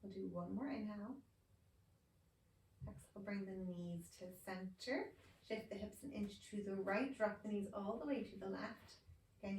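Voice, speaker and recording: A woman teaching a yoga class, giving calm, slow, soothing cues while she is losing her voice. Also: medium pitch, slight background noise. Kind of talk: guided yoga instruction. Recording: roomy, distant mic, faint ambience.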